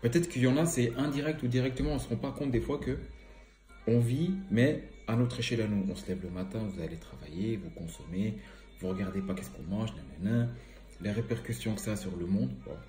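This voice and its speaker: A man speaks in a low, mellow voice, a little stuffy from a cold. He rambles casually and hesitates often as he thinks aloud. The sound bounces around an echoey room, with faint music underneath. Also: a little fast. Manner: rambling, hesitant, many fillers. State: has a cold, slightly stuffy. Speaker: environmental vlogger. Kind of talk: casual spoken commentary.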